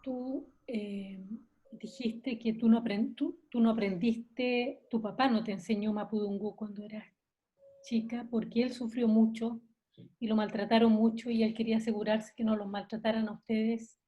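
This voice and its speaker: A woman host asking interview questions in a gentle, somewhat hesitant way. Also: a little high, steady tone, normal speed. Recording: dull, lo-fi sound.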